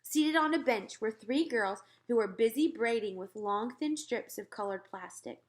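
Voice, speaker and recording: A woman narrating a children's book aloud in an expressive storytelling style. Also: mid-range voice.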